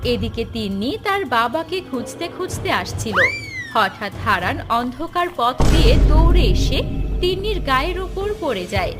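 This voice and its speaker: Woman narrator, high voice, scripted fairy-tale storytelling, music behind. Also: very animated, normal speed. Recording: music under the voice.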